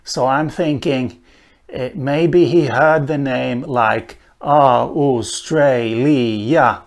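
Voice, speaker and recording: Swedish-speaking guy explaining a language lesson, real slow, lots of uh's, mid-pitched voice. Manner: slow and thoughtful, with many "uh" pauses. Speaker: language teacher. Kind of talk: unhurried spoken lesson on a language.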